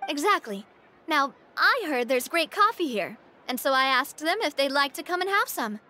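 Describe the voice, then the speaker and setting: A woman's voice: high-pitched, sing-song, moderate pace, sharp tone, slight background noise, heavily acted. A woman playing a confident, breezy young woman in charge, in a video game.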